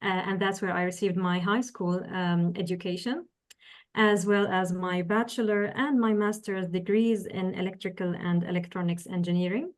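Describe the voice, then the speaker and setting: A woman in her thirties from Syria, raised in the Gulf, answering as a podcast guest. She speaks in an earnest, measured way and pauses often while explaining. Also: fairly low pitch, steady tone. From Syria, raised in the UAE. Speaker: guest, engineering professor.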